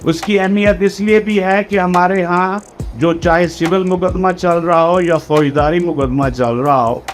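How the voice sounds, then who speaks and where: A man lecturing on law for exam students, explaining deliberately and spelling out key phrases word by word, with English legal terms mixed in. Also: sits high, soft background music.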